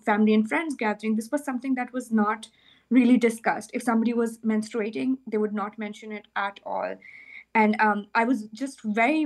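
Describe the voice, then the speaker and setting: A young woman from Pakistan, a graduate student researcher, answering interview questions thoughtfully with pauses and restarts. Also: fairly high pitch. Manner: thoughtful, hesitant, many fillers and restarts.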